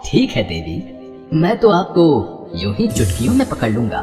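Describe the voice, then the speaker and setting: A man's voice: medium pitch, huge pitch swings, normal speed, darkish tone, soft background music. A man voicing a good-natured, guileless god and fond husband in a devotional story, with formal, playfully bemused delivery. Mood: confident, boastful. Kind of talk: animated devotional story dialogue.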